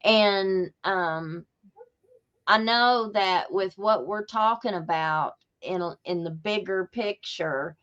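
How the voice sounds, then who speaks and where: A woman's voice: mid-range voice, slow pace, a bit bright, dull audio. A woman with a Southern Appalachian accent, chatting casually as a podcast host in a folksy, conversational manner. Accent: Southern Appalachian American. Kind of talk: casual chat.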